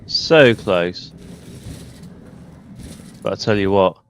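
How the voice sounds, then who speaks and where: A man with a fairly low voice gives casual gameplay commentary. Game noise is clearly audible behind him as he talks informally while playing. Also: expressive, extremely slow. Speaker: gamer, video game player.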